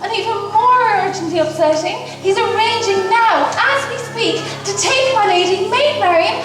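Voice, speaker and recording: A woman's voice: extremely high pitch, moderate pace. A woman playing an anxious, loyal lady's attendant in a stage pantomime, declaiming urgently. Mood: urgent alarm. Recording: echoey theatre, distant mic, music.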